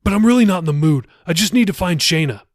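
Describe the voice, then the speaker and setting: A man's voice: high-pitched, animated, fast, no room sound, studio quality, voice pushed up. A man voicing a sullen, troubled young man who talks in a curt, guarded, defensive way in an audiobook. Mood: irritated.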